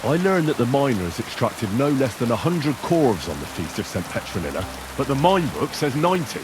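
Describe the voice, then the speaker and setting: An actor playing a young medieval man calmly and firmly questioning suspects in a video game. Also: mid-range voice, brisk, background noise, close to an everyday voice. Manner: calm, firm interrogating.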